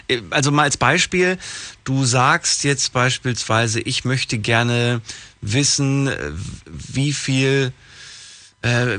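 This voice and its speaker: Radio host, man, mid-pitched, chatty and exasperated, dry close studio sound. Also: dry recording. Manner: animated, exasperated, full of restarts. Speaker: radio talk show host. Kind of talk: casual radio phone-in talk.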